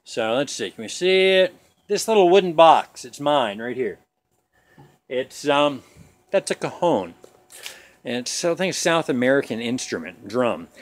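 A man in his forties, talking in a jokey, rambling, self-deprecating way while filming himself on a phone in a suburban front yard. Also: medium pitch. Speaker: vlogger. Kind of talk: casual rambling vlog. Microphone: phone camera at arm's length.

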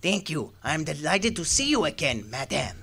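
A man's voice: on the high side, sing-song, moderate pace, gravelly, bright, forceful tone, breathy edge, exaggerated voice. A man playing a slippery, courteous little crook in a noir radio drama, speaking in formal, precise, over-polite phrases. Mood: courteous, impatient.

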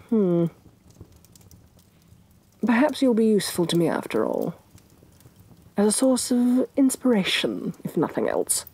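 A woman playing a domineering, vain evil sorceress queen in a soft-spoken ASMR fantasy roleplay, imperious and sardonic, with breathy condescension. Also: low voice, heavily acted. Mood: musing. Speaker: voice actress.

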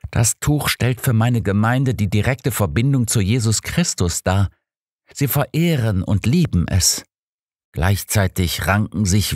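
A man's voice: lowish voice, darkish tone, no echo, studio quality, pitched above the performer's own voice. A man voicing a distraught, devout cardinal in an audiobook, speaking formally with grave, pleading earnestness. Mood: distressed, pleading.

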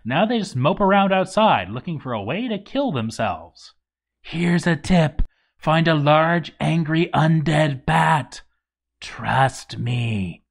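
Male narrator for a game story read-aloud, wry asides, highly varied intonation. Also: animated, slow, gravelly. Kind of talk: expressive scripted reading of a game story.

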